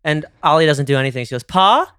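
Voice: mid-range voice, animated, quick pace, fairly bright tone, clear, resonant tone, dry recording, studio quality. A podcast host from outside New York with a slight New York accent, chatting casually with a caller and telling stories with some swearing. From United States, outside New York.

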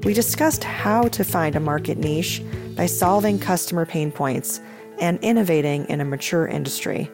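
Podcast host lady, lowish voice, eager and upbeat, faint music under her. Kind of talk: podcast interview.

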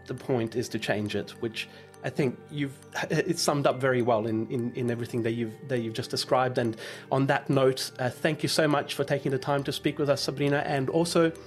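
A man hosting a podcast in a friendly, wry, measured way. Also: mid-range voice. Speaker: podcast host. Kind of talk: interview and scripted sign-off.

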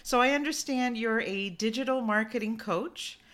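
A woman's voice: fairly high pitch, moderate pace, fairly bright tone. A woman hosting her own podcast, opening with an emphatic, inspirational intro and then interviewing a guest. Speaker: podcast host.

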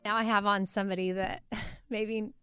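A woman's voice: mid-range voice, little pitch movement, moderate pace, over loud music. A woman hosting a casual interview, encouraging and a little tentative in how she puts questions. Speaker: podcast host interviewing a guest.

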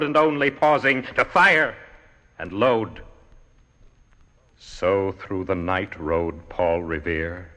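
Guy doing a dramatic solemn poem reading, lowish forceful voice, big hall reverb. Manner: solemn, theatrical, measured phrasing. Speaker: poetry reader. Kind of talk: dramatic poetry recitation.

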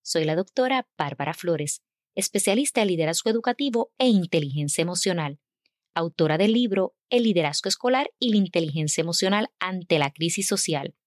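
A woman's voice: lowish voice, animated, fairly quick, no echo, studio quality. A woman hosting an interview show, introducing her guest and asking conversational questions in Spanish. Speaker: interview host, doctor of educational leadership.

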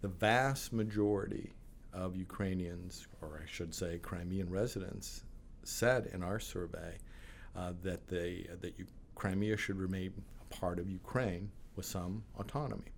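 A man giving measured, considered answers in a panel interview, with frequent hesitations. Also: sits low, a little hiss or hum. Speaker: pollster.

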